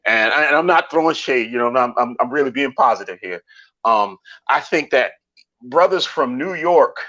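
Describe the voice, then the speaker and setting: A man's voice: mid-range voice, lively intonation, moderate pace, bright, forceful tone. A man raised in Savannah, Georgia, with a Southern accent, talking in a casual, preachy way with many restarts. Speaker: show host. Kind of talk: casual motivational talk. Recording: dull lo-fi audio.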